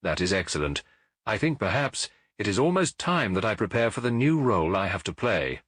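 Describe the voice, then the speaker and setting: A man voicing a brilliant, self-assured Victorian gentleman detective in an audiobook, with an English accent and a precise, authoritative way of giving instructions. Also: on the low side, big pitch swings, fairly quick, slight background noise. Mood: commanding, precise. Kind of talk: audiobook character acting.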